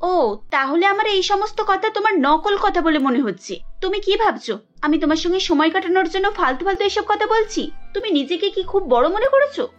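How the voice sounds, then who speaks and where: A woman's voice: extremely high pitch, sing-song, a little fast, highs cut off, soft background music. A woman voicing a young Bengali housewife in a family cartoon, with an emphatic, reproachful way of talking. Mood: angry, sarcastic. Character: young Bengali housewife Kajal, dutiful but quick to sulk and scold.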